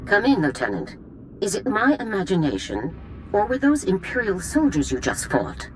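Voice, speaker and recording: An actress playing a stern Republic general in a video game, speaking in a brisk, authoritative way. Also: female voice, mid-range voice, huge pitch swings, slight background noise, poor audio. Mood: concerned. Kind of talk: video game cutscene acting.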